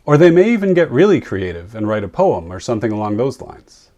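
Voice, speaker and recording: A man who works as a photographer reads a scripted lesson on writing captions, explaining each point clearly and at a measured pace. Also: medium pitch, sing-song, warm tone, muffled recording. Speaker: photographer and presenter.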